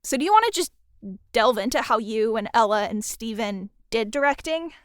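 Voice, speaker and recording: A woman interviewer asking thoughtful questions in a measured, casual way. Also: a little high, expressive, dry recording, studio quality.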